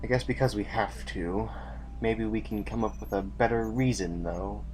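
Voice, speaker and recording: An actor playing a weary, commanding general in an audio drama, with an authoritative, brooding way of speaking. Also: male voice, fairly low pitch, fairly even intonation, moderate pace, a little mellow, close to an everyday voice. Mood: somber. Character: weary, commanding male general facing a losing war.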